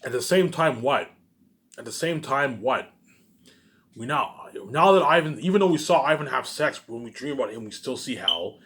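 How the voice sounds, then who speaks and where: Male vlogger, medium pitch with big swings; rambling, accusatory rant, repetitive and profane. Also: expressive, normal speed, a bit bright, a little hiss or hum. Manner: emphatic and repetitive, punctuated with muttered tag words. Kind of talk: rambling, ranting monologue addressed to viewers.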